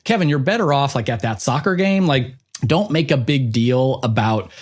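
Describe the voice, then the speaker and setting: A man's voice: mid-range voice, expressive, moderate pace. A man hosting a podcast in an informal, chatty, conversational way, as in an interview. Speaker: podcast host.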